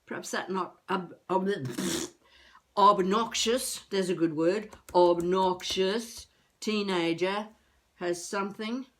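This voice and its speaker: An actress playing an elderly wannabe novelist, wry and self-mocking, musing haltingly to herself in a confessional video-diary monologue. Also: female voice, medium pitch, fairly bright tone. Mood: self-deprecating. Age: older.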